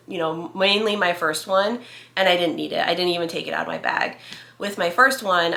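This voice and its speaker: Woman, thirties, nasal and stuffy, short of breath, bright voice, echoey room, chatty and reassuring. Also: lowish voice. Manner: chatty, reassuring, many fillers like "like" and "you know". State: congested, nasal from a cold. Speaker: vlogger. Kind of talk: casual talk to camera.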